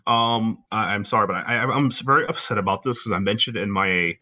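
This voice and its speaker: A man's voice: lowish voice, brisk, sharp tone. A man with a Haitian accent co-hosting a podcast, chatting casually and explaining things at length with enthusiasm. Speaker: podcast co-host. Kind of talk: casual chat.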